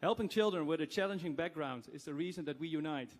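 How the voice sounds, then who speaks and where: A man's voice: fairly high pitch, fast. A man from the Netherlands in an event audience, earnestly reciting a rhyming poem in a sing-song delivery. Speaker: audience member. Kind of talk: reading a rhyming poem aloud. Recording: reverberant event hall.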